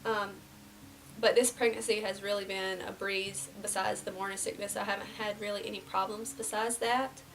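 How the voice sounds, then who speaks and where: A young woman in her twenties, slightly nasal from a cold, chatting casually through a get-ready-with-me beauty vlog and dropping to soft half-whispers as she works. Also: mid-range voice, little pitch movement, normal speed, distant mic, background noise. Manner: casual and rambling, drops to near-whispers while applying makeup. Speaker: beauty vlogger. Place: small indoor room before a plain backdrop.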